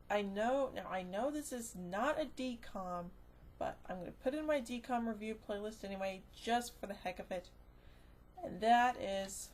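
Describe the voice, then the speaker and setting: A woman giving a casual, unscripted review, rambling and halting as she goes, often trailing off into filler phrases. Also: mid-range voice, slight background noise. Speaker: movie reviewer.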